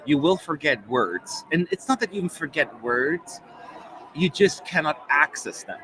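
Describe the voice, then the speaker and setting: A man's voice: on the high side, sing-song, a little slow, a bit bright, a little hiss or hum, rough recording. A man in his forties, German-born and living in America, with a slight German accent under mostly American speech, chatting casually as a live-stream host with many fillers. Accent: German, mostly American-sounding.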